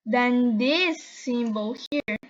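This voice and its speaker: High-voiced woman, halting. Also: dull audio, slight background noise. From Brazil. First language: Portuguese. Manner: hesitant, halting, corrects herself often. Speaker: video creator explaining math symbols. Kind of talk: unscripted explanation while drawing.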